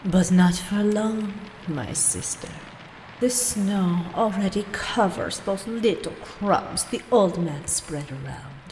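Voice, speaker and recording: An actress playing a seductive, taunting vampire bride in a radio play, with a languid, mocking, formal delivery. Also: female voice, medium pitch, takes it slowly, close to an everyday voice. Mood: menacing, coaxing. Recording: long hall reverb, background noise.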